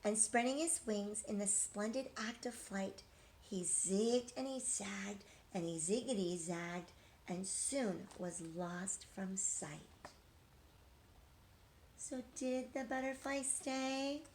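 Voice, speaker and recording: A woman's voice: medium pitch, slow, darkish tone, slight background noise. A middle-aged woman teacher reading a picture book aloud to young children, expressively, with questions to her listeners. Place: living room couch at home.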